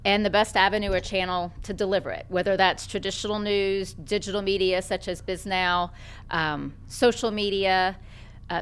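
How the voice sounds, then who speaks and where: Female PR executive guest, smooth resonant voice, confident pitch. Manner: polished, confident, businesslike. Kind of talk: radio interview.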